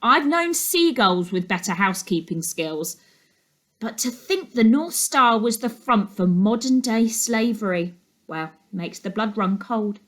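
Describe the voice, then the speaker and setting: A woman's voice: mid-range voice, expressive, a little slow, lightly acted. A woman voicing a gossipy, opinionated neighbour in a storybook, confiding and emphatic. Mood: indignant, confiding. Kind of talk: storybook character monologue.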